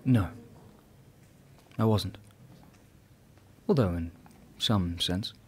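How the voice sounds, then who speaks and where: A man acting a knowing angel who guides the dying in a radio play, measured, consoling and gently amused. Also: sits low, husky, exaggerated voice. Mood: solemn, gentle.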